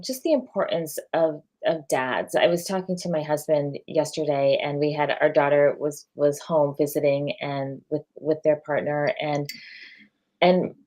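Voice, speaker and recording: A woman speaks on a video call panel in a low, mellow voice. She is earnest and sincere, often repeating a word as she gathers her thoughts. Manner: earnest, repeating words while searching for them. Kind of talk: casual panel chat.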